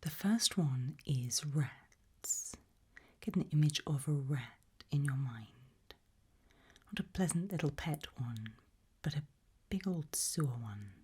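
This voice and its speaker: A woman softly whispering an ASMR roleplay, questioning the listener in a deliberate, gently ominous way. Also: deep voice, expressive. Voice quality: soft breathy whisper, mostly unvoiced.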